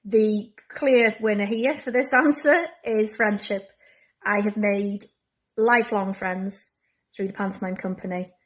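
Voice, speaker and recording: A woman gives a reminiscing testimonial about amateur theatre. She takes her time between phrases, and her pitch sits in the middle. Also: steady tone, a little slow. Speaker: amateur pantomime company member. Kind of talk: testimonial to camera.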